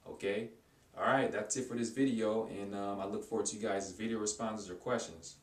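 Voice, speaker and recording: A man's voice: fairly low pitch, fairly even intonation, brisk, roomy sound, far from the mic. A man casually talking viewers through his language-learning books, stopping and restarting often as he gives direct advice. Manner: rambling, many restarts and repeated words; informal, advising viewers directly. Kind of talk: casual talk to camera, showing books.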